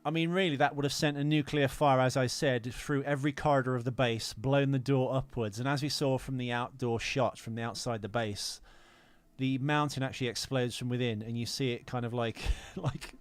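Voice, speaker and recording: A man's voice: medium pitch, fairly even intonation, a little fast, smooth, no room sound. Man in casual podcast chat, dry sound. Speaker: podcast co-host. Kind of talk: casual film discussion.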